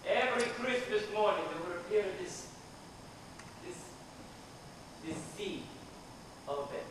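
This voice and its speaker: A young man acting an elderly immigrant grandfather on stage, telling his life story in a wistful, reflective monologue. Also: high voice. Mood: bitter reminiscing. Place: school theatre stage, recorded from afar. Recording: echoey stage, distant mic, hissy noise.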